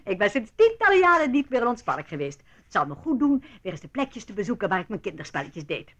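An actress playing an elderly, eccentric aristocratic aunt in a radio play, grand, chatty and blithely macabre. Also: female voice, mid-range voice, big pitch swings, fast, a bit bright, no room sound. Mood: imperious. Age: older.

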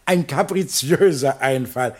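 A man's voice: mid-range voice, a little slow, close to an everyday voice. An actor playing a pompous, fawning man of letters in a radio play, speaking in ornate, self-important oratory. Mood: pompous, obliging. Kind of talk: theatrical radio play acting.

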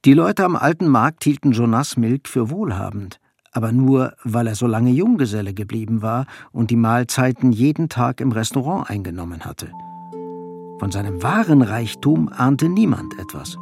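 A man's voice: medium pitch, animated, moderate pace, vocal fry, a little mellow, slightly rough, no room sound, faint music behind. A man narrating a radio play as a sober, detached storyteller in scripted literary prose. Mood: sober, measured.

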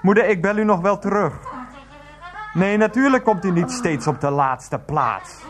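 An actor playing a hapless Dutch husband in a radio drama, soothing and evasive, often stammering. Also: male voice, high voice, lively intonation, measured pace, faint music behind. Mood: placating. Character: Freek, hapless placating husband, wheedling and evasive.

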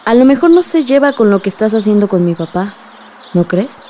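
A wary young woman in a radio drama, played by an actress. Her voice is mellow and mid-pitched, softly fretful yet affectionate, with faint noise behind. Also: very animated, a little fast, close to an everyday voice. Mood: suspicious.

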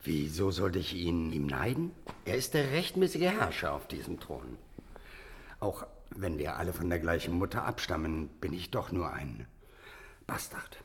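Scheming bastard half-brother to a king: low, animated, insinuating flatterer for fantasy audio drama. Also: slightly rough, full character voice. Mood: feigned humility. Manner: flattering, insinuating, deliberate emphasis. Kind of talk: fantasy radio drama acting.

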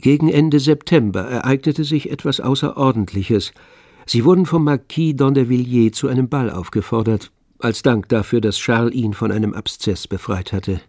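A man narrating a literary radio play in a measured, composed manner. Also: mid-range voice, darkish tone, no echo, studio quality.

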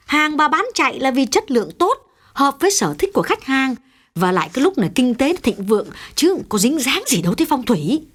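A man voicing a firm, skeptical chairman's wife in a blunt, decisive falsetto. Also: sing-song, a little fast, a bit bright, full character voice.

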